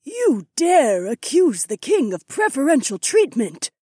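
A man voicing a stern, indignant woman with a husky, icy tone in a fantasy audiobook. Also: extremely high pitch, big pitch swings, measured pace, mellow, no room sound, clean studio sound, pitched above the performer's own voice, exaggerated voice. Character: stern, icy woman defending her king.

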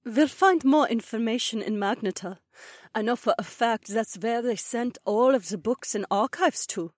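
A woman's voice: fairly high pitch, normal speed, no echo, studio quality, lightly acted. A woman voicing a curious, practical young drake woman in audiobook dialogue. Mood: assured.

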